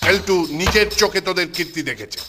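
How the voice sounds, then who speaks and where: A high-voiced, cranky older schoolmaster from a cartoon, stern and commanding. He scolds in an irritable way, with music underneath. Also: very animated, fast talker. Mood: angry, scolding. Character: stern, irritable older male hostel superintendent.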